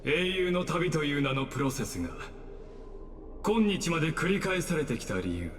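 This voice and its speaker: A man playing a calm, coldly courteous mastermind in a game, speaking formally and with measured condescension. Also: on the high side, in a large hall, a little hiss or hum. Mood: smug, composed. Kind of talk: Japanese anime-style game voice acting.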